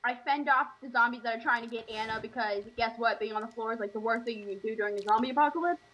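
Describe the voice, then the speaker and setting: A woman's voice: fairly high pitch, fast, fairly bright tone, low-quality recording. A woman chatting casually as a player in a role-play game.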